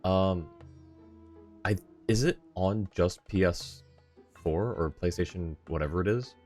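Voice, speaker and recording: A man with a low voice streams a game and chats casually with his viewers. He sounds a little tired and is polite and appreciative. Faint music plays behind him. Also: unhurried, a little mellow. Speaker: live streamer. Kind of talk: casual chat with viewers while gaming.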